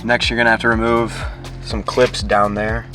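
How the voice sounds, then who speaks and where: A man's voice: on the low side, level tone, normal speed, music in the background. A man hosting a DIY car tutorial, giving plain, informal step-by-step instructions in a casual how-to style.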